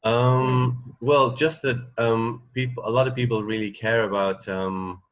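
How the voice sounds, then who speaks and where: A man, middle-aged, co-hosting a radio show in casual chat, halting and tentative as he thinks aloud. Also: mid-range voice, fairly even intonation.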